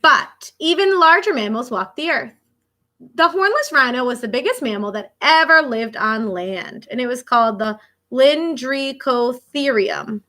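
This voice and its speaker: A woman with a high, bright voice reads a children's fact book aloud as a schoolteacher would. Her intonation swings widely, and she speaks directly to young listeners, stepping out of the text for short casual comments. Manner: expressive, child-directed, pausing to react and explain. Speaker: elementary school teacher reading a picture book.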